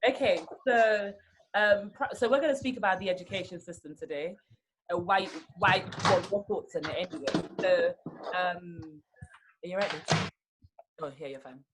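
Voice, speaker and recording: A woman's voice: on the low side, moderate pace, background noise. A woman hosting a podcast, chatting casually, teasing her guest and telling stories. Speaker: podcast host. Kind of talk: casual chat.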